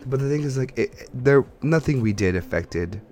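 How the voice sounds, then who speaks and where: A man's voice: medium pitch, lively intonation, moderate pace, a little mellow. A man co-hosting a podcast, chatting casually about games and films in a rambling, think-aloud way. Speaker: podcast co-host.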